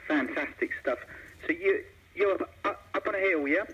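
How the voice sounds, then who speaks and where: A man chatting casually over CB radio, halting and repeating his words. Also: on the high side, expressive, pushed and bright, highs cut off. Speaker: CB radio operator. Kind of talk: casual radio chat with call signs. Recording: clearly noisy CB radio link.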